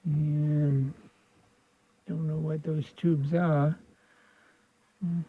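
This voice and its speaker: A man's voice: fairly high pitch, flat delivery, extremely slow, husky. A man with an American accent giving unscripted hands-on repair commentary, thinking aloud in a folksy, colloquial way. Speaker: host of a radio repair video. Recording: narrow phone-like sound.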